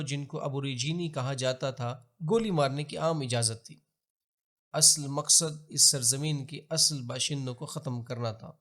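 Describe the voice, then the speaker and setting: A man with a Pakistani accent reads scripted historical narration in a formal, measured, expository manner. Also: mid-range voice, a bit bright. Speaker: documentary narrator.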